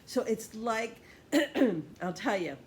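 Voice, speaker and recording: A woman who grew up in Puerto Rico leads a Bible study lesson, thinking aloud, pausing and restarting as she checks her notes. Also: a little high, expressive, a little hiss or hum.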